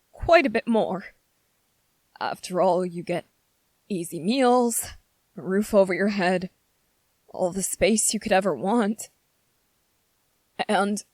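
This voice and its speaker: A woman's voice: medium pitch, no echo. A woman playing an anxious, earnest young prince in an ASMR fantasy roleplay, speaking carefully and formally with hesitant pleading. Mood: pleading, guilty.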